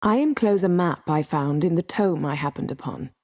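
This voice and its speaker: Haughty sorceress for a fantasy game: lowish female voice, imperious, cutting, wry and formal, guarded yet devoted; very dry studio sound. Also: lively intonation, moderate pace, a little mellow, dry recording. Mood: composed, earnest. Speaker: video game character. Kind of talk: acted video game dialogue.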